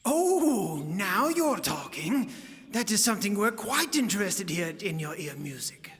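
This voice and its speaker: Man acting a pompous, high-voiced music shopkeeper. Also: sing-song, measured pace, fairly bright tone, slight background noise, full character voice. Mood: delighted. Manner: pompous, florid, archaic phrasing. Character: pompous, easily outraged music shop clerk. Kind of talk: comic radio sketch advertisement.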